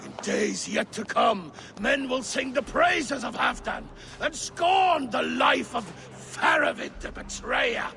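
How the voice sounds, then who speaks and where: A man's voice: extremely high pitch, wildly swooping pitch, slow pace, gravelly, hardly any pauses, pushed and bright, slight background noise, heavily acted. An actor playing a proud, paranoid, raging Viking king in a video game, declaiming with bombast. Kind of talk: dramatic video game acting.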